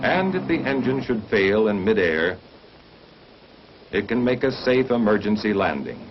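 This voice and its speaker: An American man narrating a mid-20th-century military training film in a formal, measured, instructional manner, on an old film soundtrack. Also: lowish voice, very animated, lo-fi sound, noisy background.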